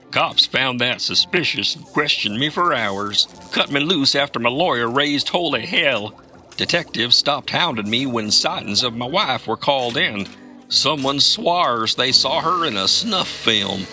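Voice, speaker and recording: Folksy, world-weary trucker. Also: male voice, fairly high pitch, sharp tone, exaggerated voice. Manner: rustic, profane, drawling storyteller. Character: folksy Kentucky trucker, world-weary man haunted by his past. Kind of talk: audio drama dialogue.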